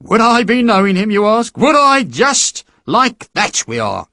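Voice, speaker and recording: A man voicing a boastful, jovial recruiting sergeant who flatters young men with a theatrical, wheedling manner. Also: sits high, a little slow, no echo, studio quality, voice pushed up, heavily acted.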